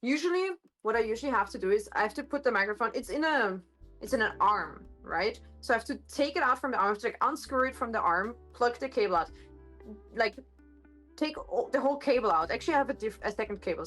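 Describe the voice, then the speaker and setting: Woman with a slightly gruff voice, rambling. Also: on the high side, a bit bright. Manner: rambling, many fillers and self-corrections. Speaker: streamer. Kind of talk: casual live-stream chat. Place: home kitchen with hard countertops. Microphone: phone microphone.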